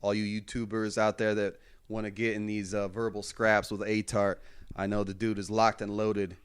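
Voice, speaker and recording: A man's voice: lowish voice, level tone, moderate pace, clean tone. A man co-hosting a casual MMA podcast, talking in hyperbolic, slangy hype with some swearing. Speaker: podcast co-host.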